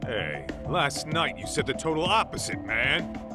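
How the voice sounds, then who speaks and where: A man's voice: a little high, big pitch swings, unhurried, over loud music. An actor playing a brash, hot-headed, loyal male detective in an English video game dub, speaking bluntly in slang. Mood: challenging. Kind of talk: English-dubbed video game cutscene acting.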